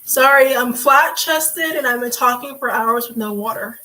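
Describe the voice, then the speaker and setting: Sassy hoarse young woman, slightly high voice. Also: normal speed, pushed and bright, poor audio. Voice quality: raspy. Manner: sassy, chatty, calls viewers sweetie and honey. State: hoarse from talking for hours. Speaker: livestream host. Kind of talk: casual livestream chat.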